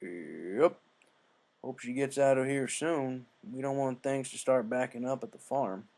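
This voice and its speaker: A narrator voicing a steady, laconic male farm horse who speaks slowly and plainly. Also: medium pitch, creaky.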